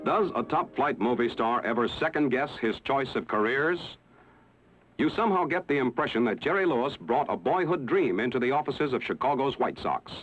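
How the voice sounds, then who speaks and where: A man narrating a 1960s newsreel in a measured, formal announcer style. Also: medium pitch, animated, a bit bright. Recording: tinny lo-fi old film soundtrack with music.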